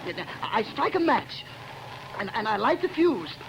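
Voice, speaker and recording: A giggling, stammering mad bomber. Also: male voice, high-pitched, sing-song, a little slow, dull audio, heavily acted. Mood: gloating. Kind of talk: 1930s radio drama acting. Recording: old radio recording with noise.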